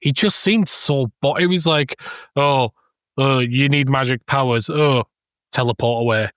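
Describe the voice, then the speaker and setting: A man co-hosting a casual film discussion, making his points in a halting way. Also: mid-range voice, fairly bright tone, no echo, studio quality. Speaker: podcast co-host.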